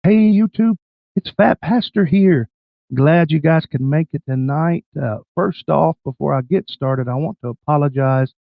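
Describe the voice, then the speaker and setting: A man with a scratchy, bronchitis-worn voice, casually hosting a live stream chat in a folksy, halting way. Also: on the high side, creaky, dry recording, phone-quality sound.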